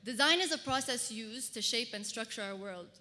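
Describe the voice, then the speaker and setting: Woman doing a conference talk, personal story, bright clear-cut voice, big echoey hall. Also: fairly high pitch, animated, normal speed. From Kuwait. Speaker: public speaker, fashion designer. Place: large hall, speaking to an audience. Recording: reverberant hall, amplified stage talk.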